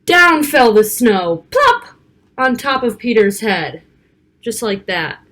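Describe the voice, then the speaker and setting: A woman reading a picture book aloud to children, expressive, with casual asides about the pictures. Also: on the high side, takes it slowly. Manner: child-directed, expressive, stretching key words and pointing out pictures.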